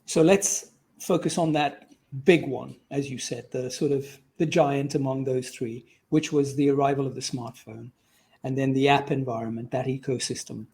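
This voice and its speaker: A man's voice: medium pitch, fairly even intonation, normal speed, vocal fry, a little mellow, slightly rough. A man hosting an interview in a conversational, effusive manner. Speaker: interview host.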